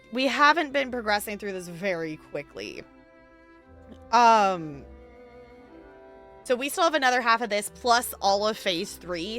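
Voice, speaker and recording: A woman streams a game and chats with her viewers in a loose, rambling way, laughing often. Her voice is bright and a touch high. Soft game music plays behind her. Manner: chatty and rambling, laughs easily, talks to chat. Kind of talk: casual live-stream chat while gaming.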